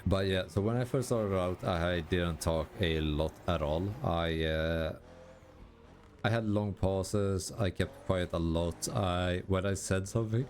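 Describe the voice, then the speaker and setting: A Swedish man, a gaming YouTuber, talking casually in halting, self-correcting sentences and laughing at himself over his English pronunciation. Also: sits low, darkish tone, slight background noise. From Sweden. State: tired. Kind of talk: casual commentary while gaming.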